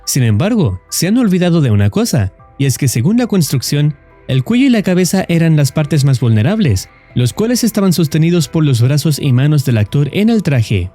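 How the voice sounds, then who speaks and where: A man's voice: fairly high pitch, very animated, brisk, dark tone. A man reading scripted narration as the narrator of a horror story recap video. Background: background music.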